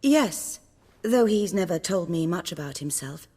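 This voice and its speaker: An actress playing a genteel, gracious woman of the theatre in a video game, expressive and courtly, with a husky voice and long reverb. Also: on the low side, unhurried. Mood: gracious, playful.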